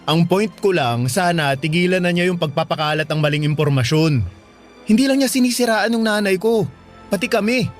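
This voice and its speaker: A man's voice: high-pitched, normal speed, faint music behind, natural voice. A man acting as a blunt, exasperated young man in a radio drama, dropping English slang into his speech.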